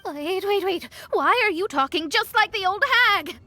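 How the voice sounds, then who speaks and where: An outspoken, proud young apprentice for a video game. The high voice is bright and forceful, with a dry sound and faint music. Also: female voice, lively intonation, measured pace, dry recording. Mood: indignant.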